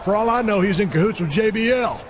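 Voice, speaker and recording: Brash high-voiced wrestling boss, played by a man. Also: fast, natural voice. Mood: indignant. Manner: blunt, folksy, swearing. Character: brash, gruff-talking, cussing wrestling boss, adult man. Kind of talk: video game cutscene dialogue. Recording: very noisy game capture.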